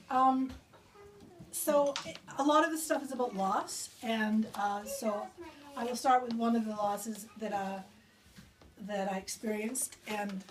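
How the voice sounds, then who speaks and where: A woman poet speaking and reading her own work live to a small gathering of listeners. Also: fairly high pitch. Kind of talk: live poetry reading with informal remarks to audience. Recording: distant mic with background noise.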